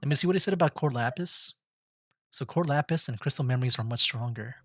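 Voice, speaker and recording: A man's voice: medium pitch, quick pace, fairly bright tone, dry recording, studio quality. A man giving casual commentary as he streams a video game. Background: game music.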